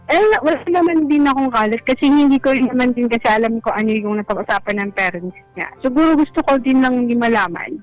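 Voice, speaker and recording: A woman's voice: on the high side, quick pace, dry recording, rough recording. Hesitant young woman calling in. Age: 20s. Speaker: caller telling a personal story. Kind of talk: casual conversational call-in chat. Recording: music bed behind. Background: faint background music.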